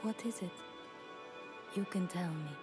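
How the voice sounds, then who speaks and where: Soft, low-voiced actress as a warrior woman. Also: unhurried, lightly acted. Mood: soft, earnest. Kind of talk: video game voice acting. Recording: game music behind the voice.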